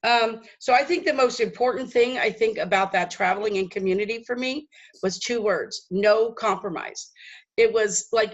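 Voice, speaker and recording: A woman's voice: medium pitch, lo-fi sound. A woman aged sixty casually recounting her experience as a participant. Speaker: trip participant giving a testimonial. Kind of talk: casual personal recollection.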